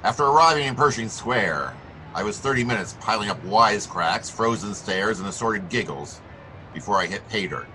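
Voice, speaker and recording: An actor playing a wry, wisecracking private detective in an old-time radio drama, with clipped, slangy delivery. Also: male voice, lowish voice, expressive, moderate pace, bright, forceful tone, slight background noise, low-quality recording. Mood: wry narration. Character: wisecracking, hard-boiled male private detective.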